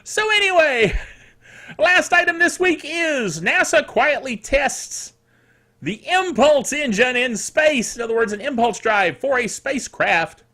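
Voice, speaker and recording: A man's voice: very high-pitched, expressive, a little slow, bright, forceful tone. A man hosting a casual tech news show, reading items aloud with jokey, exclamatory asides and a sore throat. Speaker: host of a tech news podcast. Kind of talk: casual solo tech commentary mixed with read-out news.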